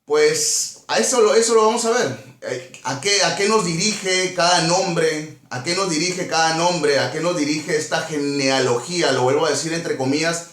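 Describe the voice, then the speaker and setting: A man's voice: fairly high pitch, moderate pace, few pauses, a bit bright, roomy sound. A man with a Peruvian Spanish accent teaching a Bible study, reading verses and explaining them didactically, repeating phrases and questions. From Peru.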